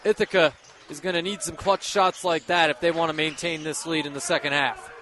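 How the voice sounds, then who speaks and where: Higher-voiced man giving basketball color commentary. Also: steady tone, a little fast, sharp tone. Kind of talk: live radio sports analysis.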